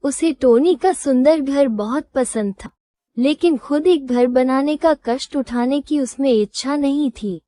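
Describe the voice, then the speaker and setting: A woman reading a scripted children's moral story as storyteller, in a measured, instructive manner. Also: high voice, mellow, no echo.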